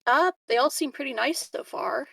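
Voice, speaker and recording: A woman's voice: high voice, normal speed, gravelly, bright, forceful tone, no echo, pitched above the performer's own voice, exaggerated voice. A woman playing a polite but uneasy colonist in a tabletop roleplaying game, speaking frankly in a hesitant, everyday conversational way. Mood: apologetic, candid. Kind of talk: improvised tabletop roleplay dialogue.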